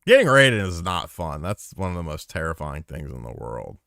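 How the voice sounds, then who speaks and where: A man with a low, gravelly voice co-hosts a loose podcast aftershow, joking, rambling and swearing freely. His tone is bright, and the sound is dry and close. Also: big pitch swings, moderate pace, dry recording. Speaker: podcast co-host. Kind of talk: casual chat.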